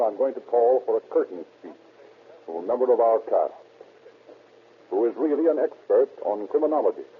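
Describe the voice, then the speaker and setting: A man's voice: mid-range voice, husky. A man hosting as a 1930s radio announcer, with a formal, salesmanlike delivery. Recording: muffled, narrow-band old radio recording.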